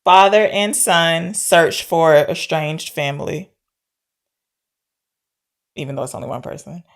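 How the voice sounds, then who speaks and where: A woman's voice: sits low, measured pace, vocal fry. A woman co-hosting a podcast, casually and playfully discussing films. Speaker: podcast co-host.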